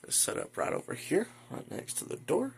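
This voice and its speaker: Male hobbyist host, gravelly, informal and hesitant, recorded at home with audible noise. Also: high-pitched, wildly swooping pitch, normal speed. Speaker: hobbyist video host showing a weather radio collection. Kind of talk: casual unscripted show-and-tell. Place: indoor room at home. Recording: noisy home video with clicks.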